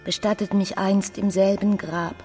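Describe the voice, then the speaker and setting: A woman playing a dreamy, devout teenage girl hungry for romance in a literary radio play, speaking in a hushed, intimate way that slips into whispers. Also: medium pitch, flat delivery, normal speed, close to an everyday voice. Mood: fervent melancholy. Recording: music behind the voice. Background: music.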